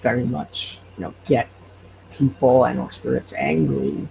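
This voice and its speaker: Male podcast guest, very creaky voice, slow halting delivery, slightly muffled lo-fi recording. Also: mid-range voice, big pitch swings. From Hawaii. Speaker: podcast guest, audio drama creator. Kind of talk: casual interview chat. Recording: dull lo-fi sound, faint noise.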